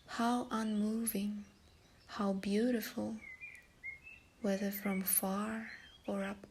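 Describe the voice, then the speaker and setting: A woman leading a guided meditation in a soft, breathy, soothing delivery. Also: medium pitch, slight background noise.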